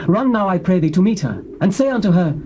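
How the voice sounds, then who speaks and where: An actor playing the prophet Elisha, speaking solemnly and with command in a dramatized scripture recording with faint tape hiss. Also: male voice, high-pitched, lively intonation, quick pace, warm tone. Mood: concerned.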